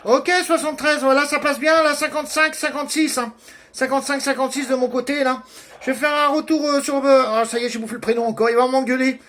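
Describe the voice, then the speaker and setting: Male CB operator, strikingly high voice, casual radio chat, faint noise behind. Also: fairly even intonation, quick pace, a bit bright.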